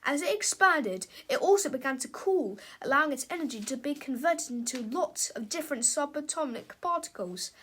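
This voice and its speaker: Female storybook reader, high-pitched voice, expressive narration for children. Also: normal speed, few pauses.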